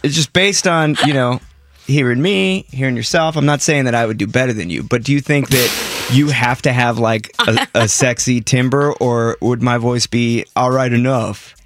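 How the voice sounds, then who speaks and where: A young man hosting a morning radio show, jokey and animated, speaking into a studio mic. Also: mid-range voice, normal speed, slight background noise. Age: young adult.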